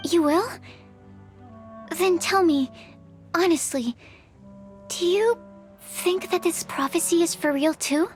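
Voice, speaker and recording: Very high-voiced, gentle young researcher. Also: female voice, slow, pauses often, soft background music. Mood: earnest, hopeful. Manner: soft-hearted, hesitant, sincere. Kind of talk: video game character dialogue.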